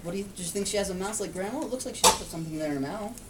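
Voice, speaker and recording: A man's voice: high voice, rapid-fire. A man reading a picture book aloud to a young child, explaining and asking simple questions as he goes. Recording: distant mic, clear background noise.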